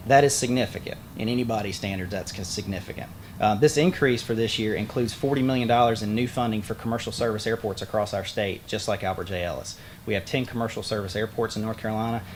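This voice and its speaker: A man's voice: on the low side, a little hiss or hum. A man who is a state aviation official gives a plain-spoken ceremony speech at a podium outdoors, with folksy asides and frequent fillers. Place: outdoor groundbreaking site. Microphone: podium microphone.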